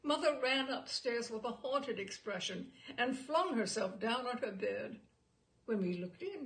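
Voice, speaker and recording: A woman's voice: mid-range voice, gravelly, low-quality recording. A woman reading a literary short story aloud expressively, with theatrical emphasis on key words.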